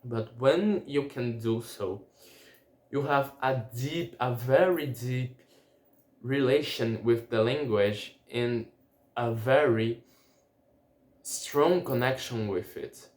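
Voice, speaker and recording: Young Brazilian man, non-native English, slow and halting, roomy echo on the voice. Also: mid-range voice. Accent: Brazilian Portuguese. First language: Portuguese. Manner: halting, many restarts and repeated words. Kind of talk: casual personal monologue.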